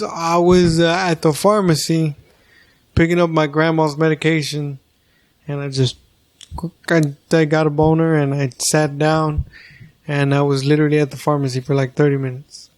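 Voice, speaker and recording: A man's voice: on the high side, flat delivery, measured pace. Crude rambling man in his thirties. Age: 30s. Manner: rambling, crude, many restarts. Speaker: podcast co-host. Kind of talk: casual chat.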